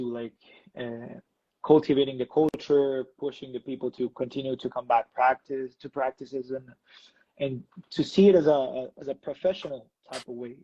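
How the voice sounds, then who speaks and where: A man's voice: mid-range voice, mellow, slightly rough, dry recording, highs cut off. A man from Ecuador, an electro dancer, talking casually in an interview with many fillers and restarts.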